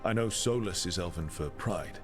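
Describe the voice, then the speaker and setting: Casting: actor as resolute, earnest game protagonist, deep male voice, plain-spoken delivery, music underneath. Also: normal speed, natural voice. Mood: questioning. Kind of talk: video game dialogue acting. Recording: music behind the voice.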